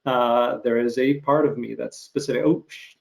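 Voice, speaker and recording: A man hosting a conversational interview, musing aloud in halting phrases. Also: medium pitch, steady tone, creaky.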